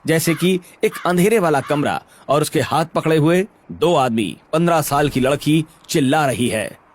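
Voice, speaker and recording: A man's voice: on the high side, expressive, moderate pace. A man narrating a scripted story in a dramatic storytelling style.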